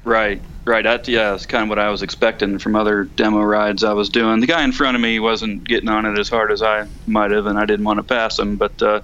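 A man's voice: fairly low pitch, little pitch movement, brisk, few pauses, fairly bright tone, dull audio, a little hiss or hum. A man chatting casually and a bit hesitantly as a guest correspondent on a call-in, rambling in a folksy way. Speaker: guest correspondent calling in to a motorcycle podcast. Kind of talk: casual interview chat.

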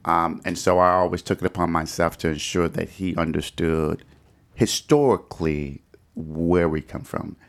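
Low-voiced American man hosting a discussion. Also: moderate pace. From Detroit, United States. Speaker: podcast host. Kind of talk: conversational discussion and interviewing.

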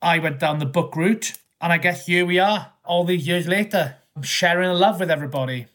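A man's voice: high-pitched, a little fast, fairly bright tone. A man from South West Wales in his thirties with a Welsh accent, answering interview questions as a historian in a thoughtful, rambling way with small restarts. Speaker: historian guest. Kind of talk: conversational interview.

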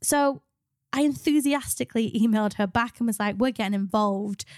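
A woman's voice: on the high side, moderate pace, a little mellow, dry recording, studio quality. A woman co-hosting a podcast in an informal, chatty, jokey way, sounding bunged up with a cold. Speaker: podcast co-host, business psychologist.

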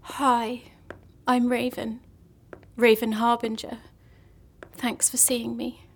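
A woman's voice: a little high, little pitch movement, slow pace. An actress playing a lonely, gloomy young woman in an audio drama comedy, telling morbid stories earnestly and hesitantly. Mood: timid, hushed.

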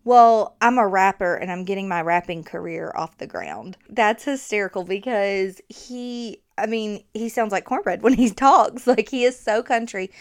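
A woman's voice: mid-range voice, animated, normal speed, hardly any pauses. A woman with a thick Southern accent hosting her own podcast, chatting casually with fillers and laughter into a new microphone. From Alabama. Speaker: podcast host.

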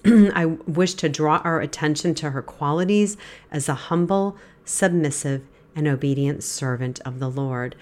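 A woman in her fifties hosts a devotional Bible podcast in a low, congested voice. She sounds stuffy from a head cold and clears her throat now and then. Her delivery is earnest and gentle as she teaches. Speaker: podcast host.

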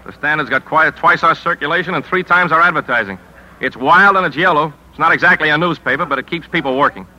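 An actor playing a middle-aged, hard-driving, cynical newspaper editor in a 1950s radio drama, with a punchy, world-weary delivery. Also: male voice, fairly high pitch, fast talker, pushed and bright, rough recording. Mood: grim, forceful.

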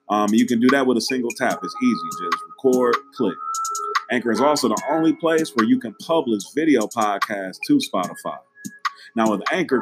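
A man doing a casual, slangy sponsor read as a host. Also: mid-range voice. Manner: informal, slangy. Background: music.

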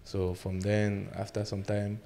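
Low-voiced young man. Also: completely flat delivery, creaky, dark tone, slight background noise. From Cameroon. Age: 20s. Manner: halting, simple non-native phrasing with many fillers. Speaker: guest arm wrestler. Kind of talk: casual interview answers.

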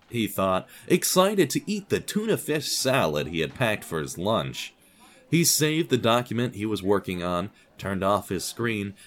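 A man narrating a scripted fiction podcast in a wry, dramatic manner with deliberate phrasing. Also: fairly low pitch.

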